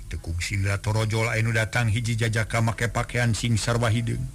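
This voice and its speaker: A man narrating a serialized folk tale in a steady, measured storytelling voice. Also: fairly low pitch.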